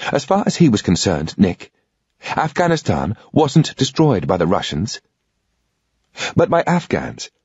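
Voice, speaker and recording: A man's voice: medium pitch, darkish tone, husky, no echo, dull audio, pitched above the performer's own voice. A man voicing a determined young British woman agent who talks in an insistent, earnest, persuasive way.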